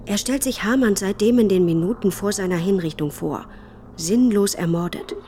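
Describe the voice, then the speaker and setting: A woman's voice: medium pitch, normal speed, warm tone, a little hiss or hum, close to an everyday voice. An actress playing a worried, sober wife reading her diary in a radio drama, restrained and matter-of-fact. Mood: sober, concerned.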